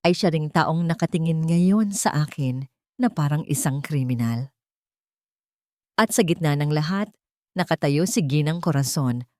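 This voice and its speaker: A woman narrating a scripted first-person dramatic story in measured, short phrases. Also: sits low, a little mellow, dry recording, studio quality.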